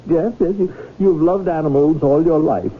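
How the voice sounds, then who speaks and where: An actor playing a fussy, indignant, pompous owner of a beloved animal, pleading theatrically in a 1970s radio drama. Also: male voice, fairly high pitch, moderate pace, husky. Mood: wounded, reproachful. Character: fussy, indignant, melodramatic male whale owner. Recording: noisy, tinny 1970s radio broadcast.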